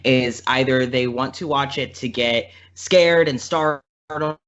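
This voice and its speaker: A man's voice: mid-range voice, slow, fairly bright tone. A man talking casually as a podcast guest, in an informal, chatty, rambling way. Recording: slightly dull, lo-fi audio.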